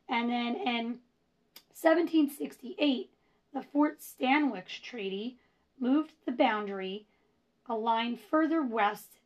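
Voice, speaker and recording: A woman hosting a casual history podcast, chatty and self-deprecating with rambling asides, her voice going hoarse. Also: a little high. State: losing her voice. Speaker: podcast host. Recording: dull lo-fi recording.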